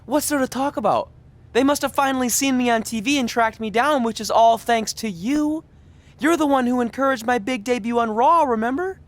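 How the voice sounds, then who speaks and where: A man's voice: very high-pitched, brisk. A man acting a goofy, eager young wrestler in a video game cutscene, chatting with boyish earnestness. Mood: hopeful excitement. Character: eager goofy young male pro wrestler, wisecracking and naive.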